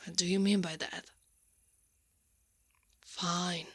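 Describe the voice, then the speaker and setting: A woman's voice: lowish voice, level tone, slow pace, slightly rough. A woman playing a brave, brash knight in an ASMR roleplay, protective and secretly smitten, with a defiant, exasperated manner that gives way to flustered stammers. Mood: incredulous.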